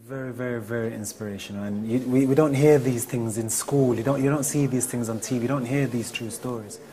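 A man's voice: medium pitch, steady tone, fairly quick, dark tone. A man from Leicester giving an earnest, emphatic testimonial about a tour. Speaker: tour participant. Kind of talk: testimonial interview.

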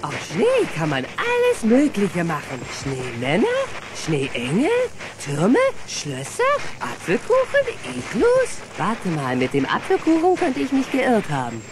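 Ein Schauspieler spielt einen kindlich-naiven, gutmütigen Wildkater in einem Kinderhörspiel, verspielt und etwas begriffsstutzig. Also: male voice, high voice, huge pitch swings, unhurried, full character voice. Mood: delighted. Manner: playful, dim-witted, faltering when reading. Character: goofy, kindly male cartoon wildcat mechanic, childlike. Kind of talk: children's audio drama acting. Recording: noisy old cassette audio drama.

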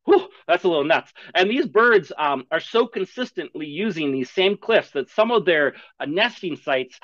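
A man's voice: on the high side, expressive, moderate pace, fairly bright tone, no room sound. A young man teaching an online birding class over slides, chatty and enthusiastic, with rhetorical questions. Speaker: birding instructor. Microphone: webcam video call. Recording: dull lo-fi video call audio.

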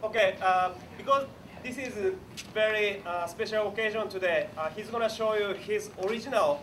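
Man speaking halting English from afar. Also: sits high, a bit bright. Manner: halting, many "uh" fillers, non-native English grammar. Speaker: clinic organizer and interpreter. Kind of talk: announcing and interpreting a judo clinic. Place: large indoor dojo. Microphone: far camera. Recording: distant voice in noisy room.

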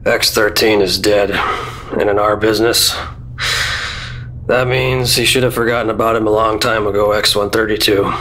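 An actor playing a brusque, authoritative general with clipped delivery in a radio drama. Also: male voice, fairly low pitch, level tone, normal speed, fairly bright tone, slight background noise, natural voice, rough recording. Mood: brisk, matter-of-fact. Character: gruff, brusque commanding general, male, middle-aged or older. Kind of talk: old-time radio drama acting.

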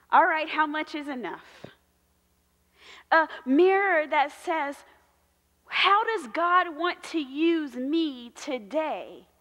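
A woman pastor in her thirties preaches in a big church sanctuary, her voice high and bright. She takes her time, leaning on slow, emphatic repetitions. The room carries a long ringing reverb. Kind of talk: sermon.

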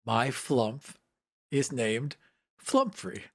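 A man's voice: mid-range voice, very animated, very slow, fairly bright tone, no echo, clean studio sound. A man co-hosting a podcast, playfully bantering and telling an improvised story as a tabletop player.

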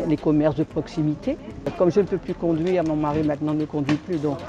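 An elderly woman, talking through a face mask, gently and hesitantly answering in an outdoor market interview. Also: low voice, fairly even intonation, fairly quick, dull audio. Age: older. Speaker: resident interviewed.

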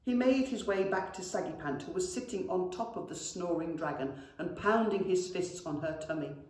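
A woman's voice: on the low side, moderate pace, darkish tone. A middle-aged woman, a schoolteacher, reading a children's story expressively and addressing children affectionately. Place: indoor school classroom. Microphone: camera a few metres away. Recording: very echoey classroom.

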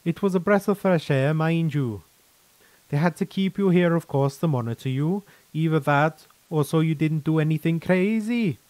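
A man's voice: on the high side, normal speed, darkish tone, no room sound, voice pushed up, lightly acted. A man voicing a chatty, frank, easygoing veteran soldier in a sci-fi story, with colloquial, mildly profane, persuasive talk. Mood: friendly, reassuring.

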